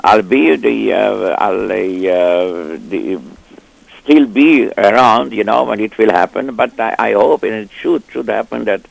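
An 80-year-old man, a cantor, speaking haltingly in a radio interview with many restarts. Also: lowish voice, vocal fry, low-quality recording. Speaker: cantor guest.

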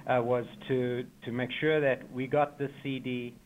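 A man's voice: mid-range voice, little pitch movement, a little slow, narrow, tinny audio, slight background noise. A man with a South African accent, a choir member being interviewed on radio, speaking with eager, effusive enthusiasm.

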